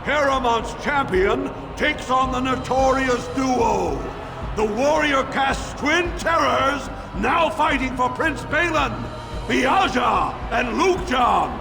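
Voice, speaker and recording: A man's voice: extremely high pitch, animated, slow, creaky, exaggerated voice. An actor playing a grandly ceremonial male dwarf arena master in a fantasy game, announcing fights in formal, declamatory style. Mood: grand, rousing. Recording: long hall reverb, music behind.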